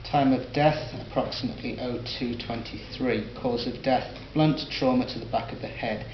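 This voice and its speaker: Man doing a radio DJ, Northern English accent, flat broadcast delivery, dull roomy lo-fi recording. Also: mid-range voice, normal speed, a little hiss or hum, lightly acted. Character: male radio DJ, newsreader and doctor. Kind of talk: scripted audio drama reading.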